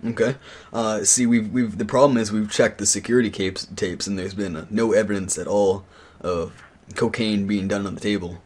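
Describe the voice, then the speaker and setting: A man playing a stern, accusing attorney on a prank call, questioning in an official but halting way that repeats words. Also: lowish voice, fairly even intonation, a little mellow, breathy edge. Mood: probing. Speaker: prank caller. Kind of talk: interrogation on a prank phone call.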